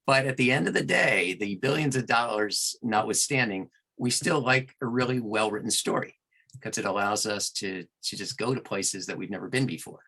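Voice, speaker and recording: A man with a very low, creaky voice that still has a forceful, bright edge. He talks as an interview guest, choosing his words in a measured, halting way. The delivery is conversational, like a narrator explaining his craft. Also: poor audio.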